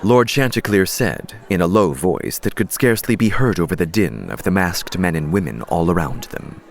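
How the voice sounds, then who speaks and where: Deep, expressive male audiobook narrator. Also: normal speed. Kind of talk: dramatic scripted story narration.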